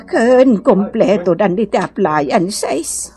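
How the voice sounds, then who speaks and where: A woman's voice: medium pitch, lively intonation, takes it slowly, crowd noise. An actress playing a principled, moralizing mother in a radio drama, with a northern Philippine accent. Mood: impressed. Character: plain, principled mother who values honest hard work. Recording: music behind the voice.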